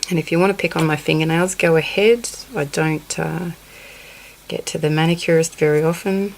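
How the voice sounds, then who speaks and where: Sniffly low-voiced woman teaching crochet. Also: fairly even intonation, moderate pace, slight background noise, poor audio. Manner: counts stitches under her breath, apologetic asides. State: sniffly with a cold. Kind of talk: hands-on craft tutorial. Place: indoors at a table, close-up on her hands.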